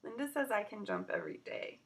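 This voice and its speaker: A woman's voice: medium pitch, lively intonation, a little fast, vocal fry, fairly bright tone. A woman reading the part of a blunt, dismissive young schoolgirl in a children's story. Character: blunt young schoolgirl dropping her friend.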